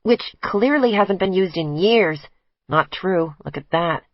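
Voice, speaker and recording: A woman voicing a level-headed man reasoning through a case, with a lowered, even voice. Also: measured pace, no echo, highs cut off. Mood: calm.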